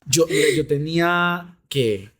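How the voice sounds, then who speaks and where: A man's voice: fairly high pitch, lively intonation, takes it slowly, noisy background. A man from a small town in Huila with a Colombian accent, co-hosting a podcast. He listens attentively and then joins the chat eagerly. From Huila, southern Colombia. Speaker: podcast co-host. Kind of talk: casual conversational chat.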